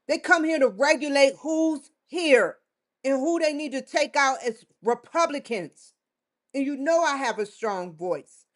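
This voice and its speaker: A woman vlogger giving a defiant, confrontational monologue straight to the camera, swearing often. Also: sits high. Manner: defiant, confrontational, with frequent profanity. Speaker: vlogger hosting her own show.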